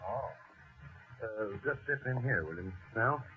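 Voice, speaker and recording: An actor playing a matter-of-fact, awkward police lieutenant, speaking haltingly in a 1940s radio drama. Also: male voice, mid-range voice, expressive, lightly acted. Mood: grave, awkward. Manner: halting, deliberate. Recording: narrow-band old radio recording.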